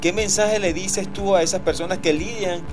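A man's voice: high voice, fairly even intonation, normal speed, music in the background. A man hosting a casual radio talk show, chatty and playfully joking. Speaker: radio host.

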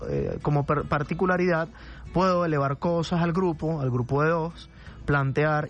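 A man speaking as an expert radio guest, carefully explaining and qualifying his points. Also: a little high. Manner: analytical, careful, self-qualifying. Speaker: social psychologist guest. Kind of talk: explanatory radio interview talk.

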